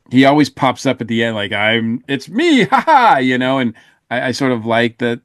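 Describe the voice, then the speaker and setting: A man with a mid-pitched voice gives a casual podcast review. He feels his way through his opinions, often hesitant as he searches for words, with a close, dry sound. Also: dry recording. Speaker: podcast co-host reviewing audio dramas.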